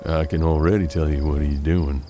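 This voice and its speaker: An actor playing a seasoned, gruff frontier detective in a western audio drama, measured and world-weary, with a gravelly voice. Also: male voice, rumbling low, steady tone, warm tone. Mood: grave, watchful.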